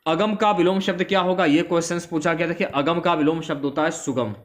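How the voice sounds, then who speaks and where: A man with a slightly high voice speaks as an exam-prep teacher. He explains in an informal lecture style, going from question to answer and repeating key phrases as reminders. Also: fairly even intonation, fast.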